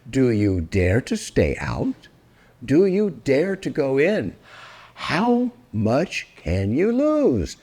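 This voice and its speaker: Older guy reading a kids book out loud, really swoopy pitch, takes his time, kinda theatrical. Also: slightly rough. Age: 60s or older. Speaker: storyteller and host. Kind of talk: expressive children's storybook read-aloud.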